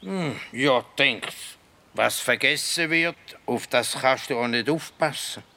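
A man playing an eccentric, cryptic forest spirit in a children's radio play, with a Swiss German accent and a gravelly voice. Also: medium pitch, bright, forceful tone, heavily acted. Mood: musing, playful. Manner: slow, drawn-out, cryptic.

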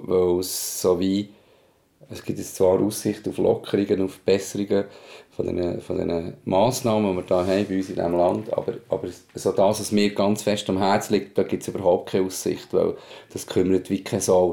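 A man's voice: low-pitched, level tone, normal speed, gravelly, mellow. A man with a Swiss German accent talking casually and frankly in colloquial words, as a podcast host. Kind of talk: casual personal conversation.

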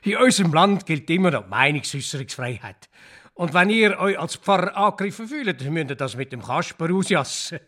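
An actor playing an easygoing, placating village mayor in a radio play, with a husky voice and a Swiss German accent. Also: male voice, a little high, animated, fairly quick, a bit bright. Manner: chuckling, easygoing, appeasing. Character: jovial village mayor, male, amused and placating.

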